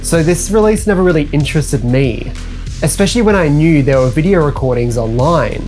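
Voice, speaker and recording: A man's voice: on the high side, animated, brisk, a little mellow. Man narrating an explainer over music. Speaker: video host. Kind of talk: scripted narration.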